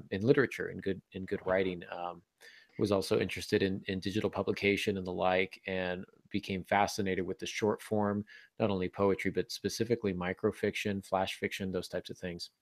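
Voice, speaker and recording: A man speaks in a low voice with a gravelly, creaky edge, recorded close and dry. He talks casually as a podcast co-host, thinking aloud in reflective, halting phrases. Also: no room sound.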